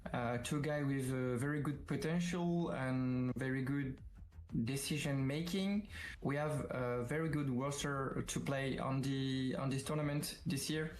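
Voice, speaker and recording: French man answering haltingly, mid-pitched voice. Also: rough recording. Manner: halting, non-native English phrasing. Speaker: esports player, team captain. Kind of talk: interview answers.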